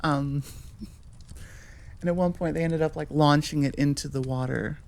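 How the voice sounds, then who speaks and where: Slow-talking American woman, rambling casually. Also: deep voice, fairly even intonation, gravelly, a little mellow. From Nevada, United States. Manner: informal, self-correcting, chuckling asides. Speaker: audio creator, host. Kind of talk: casual rambling outro chat.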